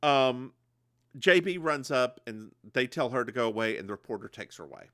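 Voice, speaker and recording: A man's voice: medium pitch, moderate pace, no echo, clean studio sound. A man co-hosting a podcast, recapping a TV episode in casual chat and slipping into voices when he quotes characters. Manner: animated storyteller, slips into voices when quoting.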